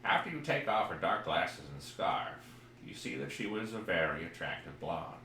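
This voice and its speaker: A man's voice: sits low, big pitch swings, moderate pace, pushed and bright. A man co-hosting a podcast, chatting casually and reading a mystery game aloud. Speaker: podcast co-host. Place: echoey room, live streamed podcast. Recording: roomy echo with background noise.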